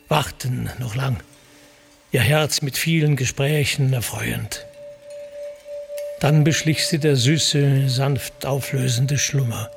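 A man's voice: medium pitch, vocal fry. A man narrating epic verse in a solemn, formal, declamatory storytelling manner.

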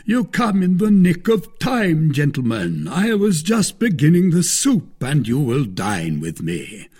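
A man acts out a big, vain storybook gentleman. He speaks high and emphatic, with huge swoops of pitch. He is pompous, hearty and pleased with himself, with a slightly rough edge. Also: huge pitch swings, unhurried, exaggerated voice.

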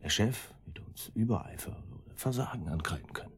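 A man's voice: lowish voice, lively intonation, fairly quick, husky. An actor playing an older, cautious henchman in a radio drama, hesitant and brooding as he reasons things through. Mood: anxious reasoning.

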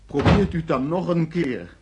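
A man's voice: a little high, expressive, normal speed, very noisy, natural voice. An actor playing a panicked detained man in a radio drama, pleading and stammering as he insists he is innocent. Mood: panicked, desperate.

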